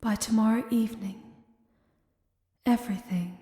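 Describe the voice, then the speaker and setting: A woman voicing an obsessive, longing servant creature in a horror ASMR roleplay, hushed, breathy and halting. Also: a little high, steady tone, reverberant, long reverb tail, close to an everyday voice. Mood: eerie longing.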